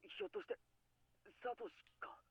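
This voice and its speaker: A man's voice: fast, highs cut off, lightly acted. An actor playing a serious, troubled teenage boy in a Japanese visual novel. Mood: tense, probing.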